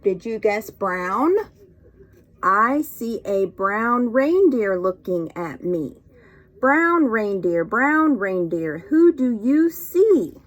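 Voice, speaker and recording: A woman reading picture books aloud to young children in an expressive, sing-song, encouraging way, often putting questions to her listeners. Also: medium pitch, slow. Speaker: children's storytime reader and teacher.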